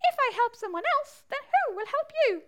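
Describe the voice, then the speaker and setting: A woman voicing a grumpy, tearful male bat with a wailing, squeaky delivery in a children's rhyme. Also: big pitch swings, brisk, a bit bright, exaggerated voice. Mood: excited, questioning. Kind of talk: rhyming children's storybook voice.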